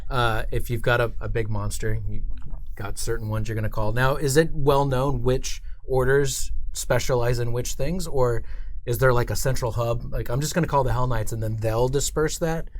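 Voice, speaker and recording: Male livestream host, medium pitch, informal and enthusiastic, stop-start phrasing. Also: fairly even intonation, normal speed. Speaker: host of a company livestream show. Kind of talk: casual chat, interviewing a colleague.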